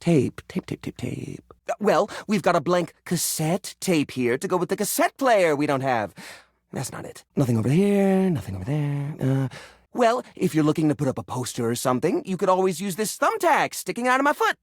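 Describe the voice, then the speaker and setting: Excitable game character, quirky junk hoarder showing off his stuff, voice swoops all over, a bit husky, super dry recording. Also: fairly high pitch, normal speed, no echo. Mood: breezy, defensive cheer. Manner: theatrical, excitable, rambling like a proud tour guide. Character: eccentric, cheerful male hoarder personifying a junk drawer. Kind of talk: animated video game voice acting.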